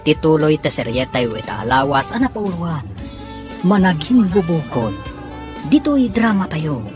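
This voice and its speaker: A woman announcing and narrating a radio drama in a measured way. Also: deep voice, big pitch swings. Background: music bed.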